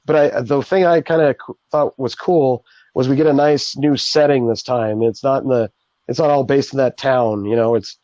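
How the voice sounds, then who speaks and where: A man's voice: medium pitch, steady tone, darkish tone, no echo, close to an everyday voice. A man doing a rambling, sleazy puppet co-host. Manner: rambling, halting, lots of ums. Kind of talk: live puppet comedy chat. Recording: dull, dry home stream audio.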